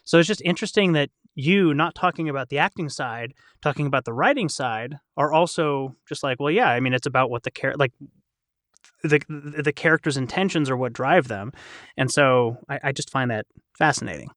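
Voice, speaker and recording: A man's voice: mid-range voice, brisk, a bit bright, no echo, studio quality. A man hosting a casual panel discussion in a chatty, reflective, wordy way. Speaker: podcast host and voice actor.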